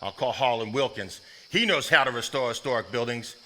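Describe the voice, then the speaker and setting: An actor in a live stage play playing a protective, authoritative head of household with a folksy manner. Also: male voice, medium pitch, fairly quick, pushed and bright, lightly acted. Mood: stern. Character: protective, stern but tender head of household.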